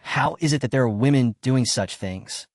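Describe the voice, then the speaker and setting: A man's voice: mid-range voice, lively intonation, moderate pace, darkish tone, dry recording, clean studio sound, lightly acted. Earnest young cop, as a man reads him. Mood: serious. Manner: serious, earnest. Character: earnest young male police officer.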